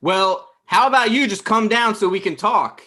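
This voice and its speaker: A man's voice: high voice, normal speed, pushed and bright. An anxious young man played by a man at a table read. He is an indignant, slightly goofy boyfriend pleading his case in a naturalistic script over a video call. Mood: goofy, combative. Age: young adult. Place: small room at home, video call.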